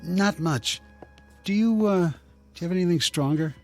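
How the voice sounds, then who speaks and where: A man's voice: sits high, moderate pace, a little mellow, soft background music, close to an everyday voice. An actor playing a controlling, uneasy husband in a radio drama, hesitant and stonewalling as he speaks. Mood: uneasy, downcast. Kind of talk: modern radio drama acting.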